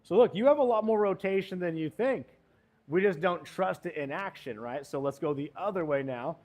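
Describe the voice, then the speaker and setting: Upbeat higher-voiced male golf coach. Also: expressive, normal speed, a little mellow. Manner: upbeat, coaching with short cues and encouragement. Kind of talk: hands-on golf lesson instruction.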